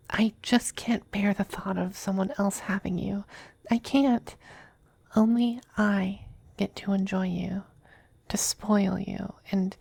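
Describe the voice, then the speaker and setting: A woman playing a tender, possessive male demon femboy in an intimate ASMR roleplay, soft and breathy, often whispering, with a hesitant, coaxing manner. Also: medium pitch, no echo. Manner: slow, hesitant, gently coaxing.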